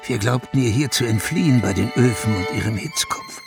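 A man's voice: mid-range voice, very animated, a little fast. An actor playing a gout-plagued man who laments solemnly in lofty verse in a radio play. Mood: anguished, lofty. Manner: solemn, declamatory. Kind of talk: poetic radio drama monologue. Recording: studio radio drama with music. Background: prominent music with strings.

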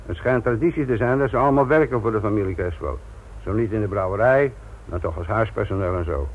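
An actor playing a blunt, sardonic, middle-aged police inspector lecturing trainees in a Dutch radio play. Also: male voice, on the low side, animated, fast, breathy edge. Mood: brisk, scornful. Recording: tinny, noisy old radio play recording.

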